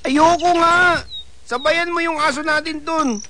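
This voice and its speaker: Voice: high-pitched, steady tone, unhurried, background noise. An actor playing a sulky, defiant teenage son in a radio drama, with a whiny, petulant delivery.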